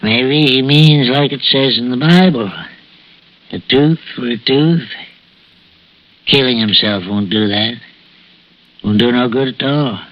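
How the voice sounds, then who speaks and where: An actor playing a plain-spoken, ailing older widower in a 1960s radio drama, folksy and halting. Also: male voice, mid-range voice, natural voice. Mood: mournful. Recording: muffled old radio recording.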